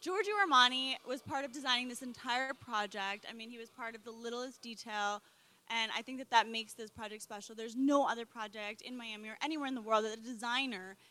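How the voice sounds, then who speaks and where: Woman, young adult, from colombia, real estate broker, rapid eager sales talk, higher voice. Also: sharp tone. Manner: enthusiastic, salesy. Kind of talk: interview guest, sales pitch.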